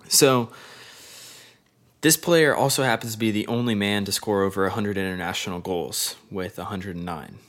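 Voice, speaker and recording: A man co-hosting a casual sports podcast, reading stats in a loose, joking way. His voice sits low. He often stops and starts again mid-sentence. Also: a bit bright. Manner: loose and jokey, reads stats with halting restarts. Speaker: podcast co-host.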